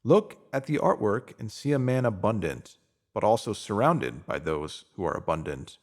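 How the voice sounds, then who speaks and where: A man's voice: on the low side, very animated, normal speed. A man narrating a scripted self-help piece, reading it out as a motivational message.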